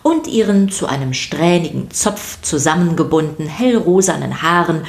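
A woman's voice: low-pitched, lively intonation, normal speed, gravelly. A woman narrator reading a scripted podcast for children.

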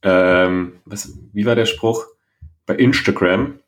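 A man with a lowish voice talks as a podcast co-host. His delivery is casual and chatty, with a playful streak running through the banter. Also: slow.

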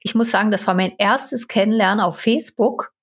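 A woman with a husky, slightly rough voice at an average pitch co-hosts an interview. She talks in a loose, chatty way and chuckles easily. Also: fairly quick. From eastern Germany (Upper Lusatia). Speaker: podcast co-host. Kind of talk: casual interview chat.

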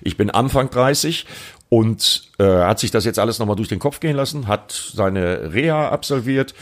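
A man's voice: on the low side, animated, moderate pace. A man co-hosting a motorsport podcast, explaining motorcycle racing news in casual chat. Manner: informed, explanatory.

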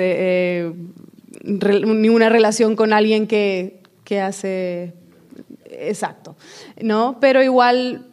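A woman's voice: medium pitch, a little mellow. A woman speaking on a conference panel, thoughtful and hesitant with fillers. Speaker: panelist and moderator. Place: conference hall with audience.

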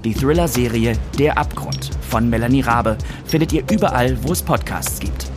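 A man reading a scripted podcast outro as announcer, with credits-style narration. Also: mid-range voice. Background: music.

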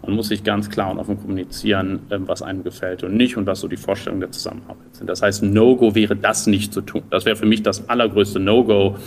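Low-voiced man from Germany, thoughtful and hesitant. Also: flat delivery, quick pace, gravelly, hardly any pauses, slight background noise. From Düsseldorf, Rhineland, Germany. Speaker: interview guest, entrepreneur. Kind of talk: conversational interview answers.

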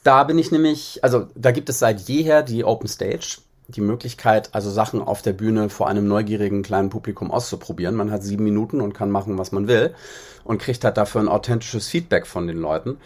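A man's voice: fairly low pitch, a little fast, darkish tone. A man from northern Germany talking conversationally as an interview guest, explaining things in a reflective way. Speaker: interview guest, singer-songwriter.

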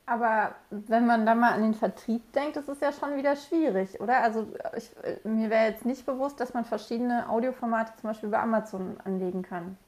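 Questioning woman, halting speech. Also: on the high side, darkish tone. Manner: asks questions, stumbles and restarts often. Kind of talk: casual discussion.

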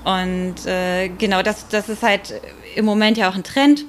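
A woman's voice: medium pitch, fairly even intonation, measured pace. Woman explaining as expert guest. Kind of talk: informal explanatory podcast interview.

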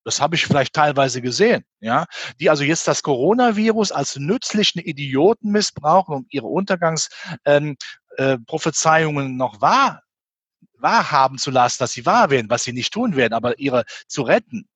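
A man's voice: fairly high pitch, animated, moderate pace, fairly bright tone, husky, dry recording. A man from the Rhineland giving his opinion as an interview guest, speaking emphatically and argumentatively with many rhetorical questions. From Rhineland, western Germany near the Belgian and Dutch border. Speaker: interview guest commenting on economics and finance. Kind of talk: animated spoken opinion in an interview.

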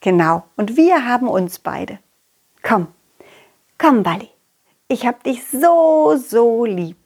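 A woman's voice: on the high side, very animated, slow, a little mellow, heavily acted. A woman voicing a confident, loyal, cheerful dog who talks affectionately and encouragingly to children in an audio story. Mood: tender. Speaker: puppeteer hosting a children's audio story podcast.

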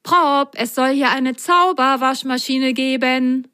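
A woman voicing an eager, adventurous young male sock in a children's story, chatty and excitable. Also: sits high, slow pace, smooth, voice pushed up, full character voice. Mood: chatty, excited.

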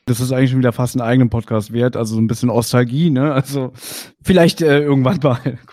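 Male podcast co-host, relaxed interviewer, dry recording. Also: mid-range voice, fast talker, no echo. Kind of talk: casual interview questions.

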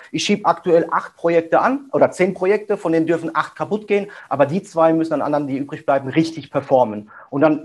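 Fast-talking, energetic German businessman. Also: a little high, slightly rough. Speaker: guest entrepreneur. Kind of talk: casual interview talk.

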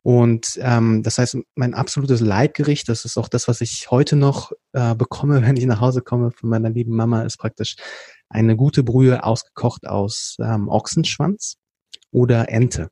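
A man from Berlin, a podcast guest, telling his story in a casual chat, often pausing with fillers and restarting sentences. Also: medium pitch, fairly even intonation, dark tone, husky, dry recording. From Berlin, Germany. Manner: explains at length with frequent hesitation fillers and restarts. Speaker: podcast guest, company co-founder.

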